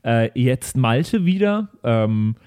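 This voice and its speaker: A man's voice: medium pitch, big pitch swings, slow, darkish tone, clear, resonant tone. Casual male podcast host. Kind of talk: casual chat.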